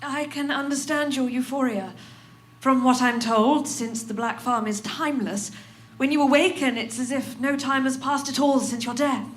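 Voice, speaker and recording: Woman acting a high-voiced cool female interrogator. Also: normal speed, slight background noise, lightly acted. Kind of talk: dramatic horror audio fiction acting. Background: music.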